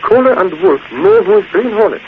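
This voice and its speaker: Actor playing an anxious high-voiced manservant. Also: male voice, close to an everyday voice. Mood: anxious, urgent. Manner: halting, polite, broken English. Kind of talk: 1940s radio acting. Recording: tinny, noisy 1940s radio recording.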